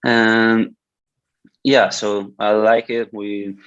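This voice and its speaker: A man in his twenties, a swimmer from Switzerland whose first language is Italian, speaking with a Swiss Italian accent in a halting way, with many restarts, on a video call. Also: lowish voice, flat delivery, fairly bright tone, smooth, dry recording. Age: 20s. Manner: hesitant, many restarts and fillers. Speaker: guest swimmer. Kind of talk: casual interview. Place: indoor room with curtains. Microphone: video call, laptop camera. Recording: dull lo-fi video call audio.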